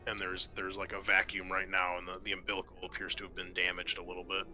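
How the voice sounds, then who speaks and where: A man acting as game master of a tabletop roleplaying game, talking in a halting, conversational and accommodating way. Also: sits low, completely flat delivery, pushed and bright, faint music behind.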